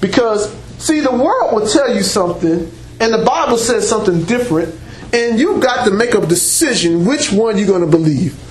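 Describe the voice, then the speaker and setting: Preacher guy, really high voice, loud no-mic bible teaching, echoey church room. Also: expressive, moderate pace, soft background music. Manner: loud and emphatic, with call-and-response exclamations like praise and amen. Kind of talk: sermon-style teaching to a congregation. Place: church meeting room before a congregation. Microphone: unamplified voice picked up by a room mic.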